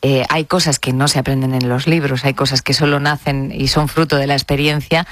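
A woman's voice: very deep voice, little pitch movement, fairly quick. A woman with an accent from Spain hosting a radio talk show in a conversational style.

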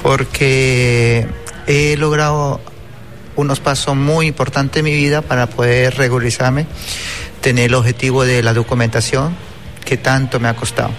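A man's voice: mid-range voice, little pitch movement, moderate pace. A man with a Venezuelan accent talking about his own life as an interviewee. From Caracas, Venezuela. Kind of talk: personal testimony in an interview. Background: faint music.

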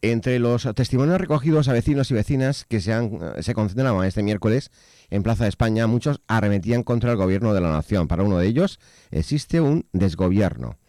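A man's voice: lowish voice, expressive, brisk, warm tone, no room sound. A man with a Spanish accent from Spain, reporting the news in scripted narration.

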